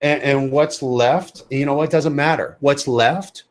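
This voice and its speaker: A man's voice: medium pitch, steady tone, measured pace. Man, podcast guest, emphatic, blunt, repeats himself. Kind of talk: casual podcast chat.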